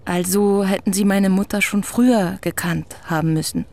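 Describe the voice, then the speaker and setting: A woman's voice: medium pitch, steady tone, creaky, mellow, lightly acted. A woman playing a politely probing young writer. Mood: earnest. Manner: polite, measured questioning. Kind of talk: radio drama acting.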